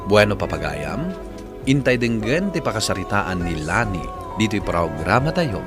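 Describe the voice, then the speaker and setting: Male Filipino radio drama host, Ilocano accent, animated scripted narration over a clear music bed. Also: fairly low pitch, normal speed. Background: background music.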